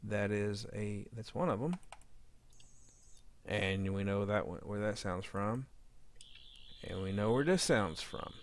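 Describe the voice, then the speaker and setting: A man with a fairly low voice walks through an informal software demo. He thinks aloud as he goes, often muttering to himself while he works. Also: slow pace, long pauses, slight background noise. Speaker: audio drama editor giving a tutorial. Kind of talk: informal screen-demo narration.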